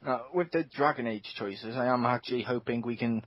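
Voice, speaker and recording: A man hosting a casual gaming podcast, talking fast and excitedly with plenty of swearing. His voice is husky and slightly breathy. The dull, lo-fi recording has a dry, close sound. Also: medium pitch, no room sound. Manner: animated, swears freely, quick asides. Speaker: podcast host.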